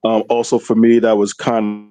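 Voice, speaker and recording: A man's voice: on the low side, completely flat delivery, moderate pace, mellow, no echo. A man speaking casually as a panelist in a podcast review discussion.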